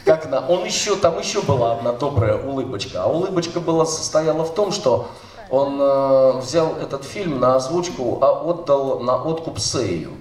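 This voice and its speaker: A man's voice: mid-range voice, animated, moderate pace, a little mellow. A middle-aged man talks loosely into a handheld mic on a hall stage, drifting through fillers and restarts. His voice sits mid-range for a man. It comes from a distance, with the room ringing around it. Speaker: seminar speaker, dubbing director. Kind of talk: informal seminar talk. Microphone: handheld mic, filmed from the audience. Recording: echoey hall, recorded from far off.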